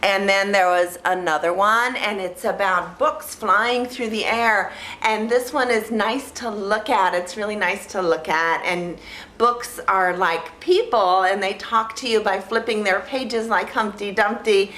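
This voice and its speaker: A woman vlog host talking casually and ramblingly in a naive, childlike way, halting and repeating phrases as she searches for words. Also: medium pitch, steady tone, pushed and bright, roomy sound. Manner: childlike, naive delivery in simple sentences. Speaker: vlog host reviewing films. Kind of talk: casual rambling talk to camera.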